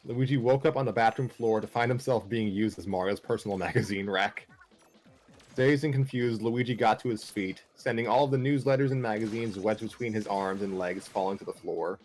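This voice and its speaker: A man's voice: on the low side, brisk, darkish tone, faint music behind, low-quality recording. A man serving as narrator of an amateur comic story, reading in a casual style.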